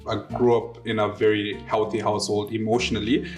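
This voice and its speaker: Young man in his twenties, playful and animated storyteller, faint guitar behind. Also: lowish voice, fairly quick. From Zimbabwe and South Africa. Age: 20s. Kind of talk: casual interview storytelling.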